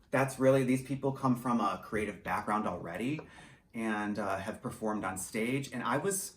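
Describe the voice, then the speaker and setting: A man's voice: mid-range voice, fairly bright tone. A man chatting casually in an interview about his creative work, talkative and self-deprecating, with some swearing. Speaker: audio drama writer and director. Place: small home studio, indoors. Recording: roomy echo with faint background noise.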